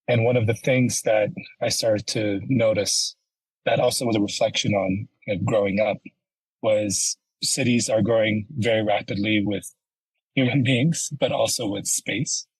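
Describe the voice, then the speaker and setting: A man from Ethiopia, a company founder, answering interview questions in a measured, explanatory way. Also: fairly low pitch, gravelly, slightly rough, dry recording, poor audio. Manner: measured, explanatory, uses "you know" and "right?". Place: home office in Ethiopia, remote call.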